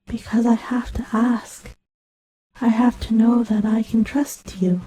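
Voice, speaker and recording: A woman playing a very soft, lonely machine child. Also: a little high, steady tone, a little hiss or hum, close to an everyday voice, rough recording. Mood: secretive whisper. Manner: slow, halting, pleading. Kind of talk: scripted audio drama monologue.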